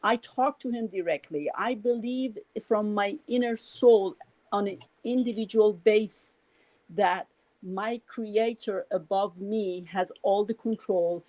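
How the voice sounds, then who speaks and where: A woman's voice: medium pitch, slow pace, mellow, dry recording, rough recording. Earnest middle-aged Iranian woman, dry close sound. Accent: Persian. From Iran. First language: Farsi. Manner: earnest, rambling with many restarts and "you know". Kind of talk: casual spoken reflection.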